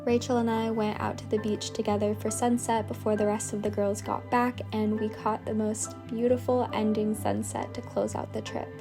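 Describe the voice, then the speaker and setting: A woman in her twenties narrating her trip in a soft, even, heartfelt voice-over. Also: mid-range voice, moderate pace, few pauses. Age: 20s. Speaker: vlogger and trip host. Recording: soft music behind.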